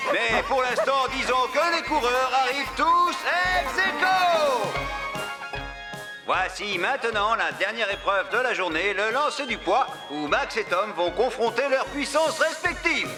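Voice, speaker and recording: A man playing an excitable, dramatic commentator in a children's cartoon, full of exclamations. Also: very high voice. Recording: music under the voice.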